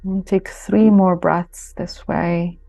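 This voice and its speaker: A woman guides a meditation with a soothing, very slow delivery and long pauses. Her low-set voice stays soft-toned and mellow, with little melodic movement, over gentle music. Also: level tone, vocal fry, no room sound. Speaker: yoga nidra guide.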